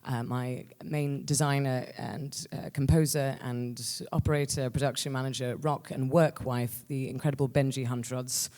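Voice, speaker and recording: A woman speaking live at an awards ceremony, halting, flustered and self-deprecating as she gives an informal thank-you speech. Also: extremely low pitch, steady tone, dark tone, in a large hall. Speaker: writer-performer accepting an award.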